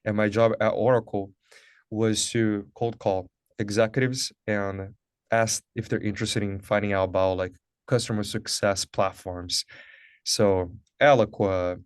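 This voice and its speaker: A man's voice: on the low side, clean tone, dry recording. Man from Brazil, Portuguese native, thirties, webinar guest, earnest, filler-heavy, dry close sound. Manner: upbeat, earnest, many fillers like "like" and "uh". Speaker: guest speaker. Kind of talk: conversational interview, webinar.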